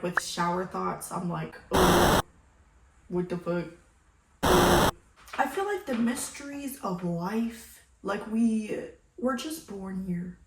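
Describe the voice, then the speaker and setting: A woman with a slightly raspy morning voice chatting casually to viewers as a vlogger, slangy and playful, with some swearing and emphatic catchphrases. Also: mid-range voice, slight background noise. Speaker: vlogger hosting a sip-and-chat video. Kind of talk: casual rambling chat to viewers. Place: indoors at home. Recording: roomy, echoey home sound.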